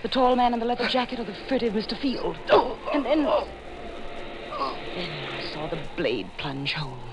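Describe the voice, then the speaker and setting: A woman's voice: medium pitch, expressive, measured pace, long pauses, background noise, close to an everyday voice. An actress playing an intense, thrill-hungry lady novelist, narrating dramatically in 1940s radio suspense style. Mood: tense, excited. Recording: old 1940s radio broadcast, music behind.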